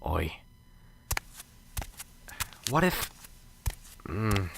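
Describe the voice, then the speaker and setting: A man's voice: fairly low pitch, very animated, drawn-out words, gravelly, noisy background, full character voice. A man playing a nervous, unsure professor in an amateur radio drama, muttering anxiously to himself and stammering. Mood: puzzled.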